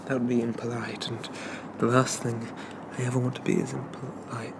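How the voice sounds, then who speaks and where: A man playing a shy, polite undead zombie in a soft ASMR roleplay, stammering and hesitant in a gently formal way. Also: medium pitch, monotone, moderate pace, creaky, noisy background, natural voice. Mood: grateful, bashful.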